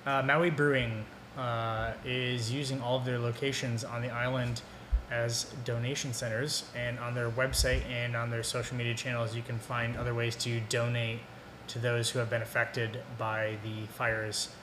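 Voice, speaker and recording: A man of average pitch shares news on a laid-back podcast. He rambles, with plenty of "uh"s between thoughts. The room is echoey, and noise hums behind him. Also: normal speed. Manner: relaxed, rambling delivery with frequent "uh" fillers. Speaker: podcast co-host reading beer news. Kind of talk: casual podcast banter.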